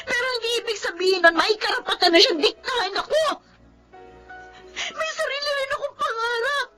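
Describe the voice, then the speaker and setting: A woman's voice: very high-pitched, moderate pace, music in the background. A woman playing a defiant, hot-tempered young adult daughter in a Filipino family drama, with a Filipino accent and an exasperated, pleading manner. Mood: tearful, defiant.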